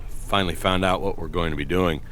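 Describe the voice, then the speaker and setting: A man playing a weary, gruff but dutiful starship captain in a science fiction audio drama, with a dry, grumbling manner. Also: sits low, steady tone, a little fast, fairly bright tone, slight background noise.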